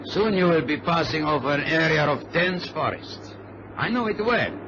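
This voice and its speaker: A man's voice: fairly high pitch, expressive, moderate pace, natural voice. A man playing a polite but menacing hijacker in a radio drama. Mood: cool, commanding. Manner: courteous, coolly threatening. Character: polite, menacing Latin male hijacker with a gun. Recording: noisy, dull vintage radio recording.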